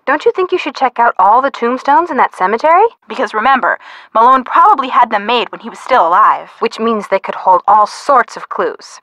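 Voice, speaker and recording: A young American girl sidekick in a detective game. She is chatty and playful, with a fairly high, bright voice and lively, teasing swings. The sound is dry and close. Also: no echo. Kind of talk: video game voice acting.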